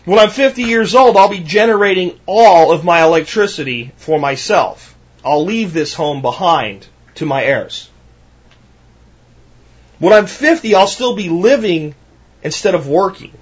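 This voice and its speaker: A man in his thirties with a high, husky voice delivers a solo podcast monologue. He talks emphatically, hammering points with repetition and rhetorical questions. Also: lively intonation, measured pace, highs cut off. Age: 30s. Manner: emphatic, ranting, repeats himself. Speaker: podcast host.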